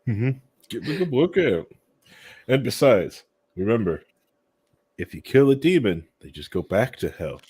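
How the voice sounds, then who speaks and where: A man's voice: medium pitch, sing-song, moderate pace, long pauses, a little mellow, husky. A man co-hosting a podcast with casual joking banter, playful and mock-serious in manner. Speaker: podcast co-host.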